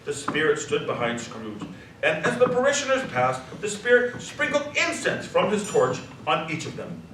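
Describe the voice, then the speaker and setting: A man narrating a scripted story dramatically, savoring the descriptive words with expressive delivery. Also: fairly high pitch, normal speed. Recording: echoey hall, distant mic, faint noise.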